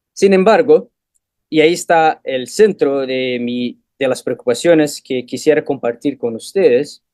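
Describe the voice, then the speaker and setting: A man's voice: medium pitch, darkish tone, no echo, clean studio sound. Portuguese-accented man reading a lecture. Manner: measured, questioning delivery in Spanish mixed with Portuguese. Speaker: guest lecturer. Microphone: video call.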